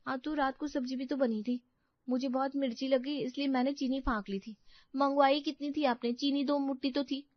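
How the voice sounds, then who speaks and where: Young daughter-in-law, breezy woman actor, high voice, family cartoon, slightly lo-fi. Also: steady tone, moderate pace, no room sound, natural voice. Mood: fretful. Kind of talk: Hindi moral-story cartoon dialogue.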